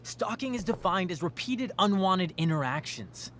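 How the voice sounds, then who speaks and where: A man's voice: sits high, animated, moderate pace, a bit bright, a little hiss or hum. A man playing an obsessive, self-righteous teenage boy in a video game, insistent and earnest. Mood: accusing. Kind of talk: dramatic video game voice acting.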